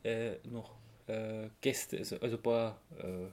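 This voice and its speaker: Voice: medium pitch, fairly even intonation, a little slow, a little mellow. A podcast co-host with an Austrian accent, chatting casually and explaining things in a conversational way. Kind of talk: casual chat about radio plays.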